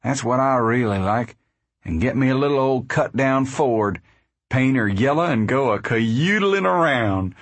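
A man's voice: mid-range voice, expressive, unhurried, no echo. Man playing an eager, folksy farm boy. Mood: excited, eager. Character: excitable, girl-crazy young Southern farm boy.

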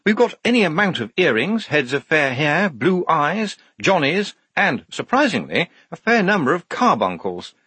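A man voicing a brisk, practical woman army major with a measured, official manner in an audiobook. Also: on the high side, sing-song, bright, forceful tone, breathy edge, no echo, pitched above the performer's own voice, exaggerated voice.

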